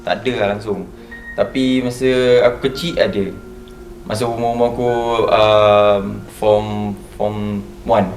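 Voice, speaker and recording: A young man with a Malaysian accent telling a story casually, in a halting way with many pauses. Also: on the low side, fairly even intonation, soft background music. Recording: roomy, distant mic, faint noise.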